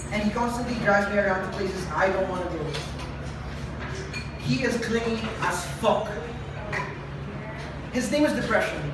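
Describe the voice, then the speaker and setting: Echoey, distant young spoken word poet. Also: mid-range voice. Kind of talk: spoken word poetry performance, confessional. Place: live event venue with room ambience. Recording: echoey live room, distant mic, noisy.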